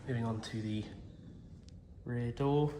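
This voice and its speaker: A man's voice: mid-range voice, slow pace, dark tone. Man with a cold, echoey outdoor recording. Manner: plain, methodical and reassuring. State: has a cold. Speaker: car salesman. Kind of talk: walk-around vehicle video. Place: outdoors around a vehicle. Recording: echoey, with faint noise.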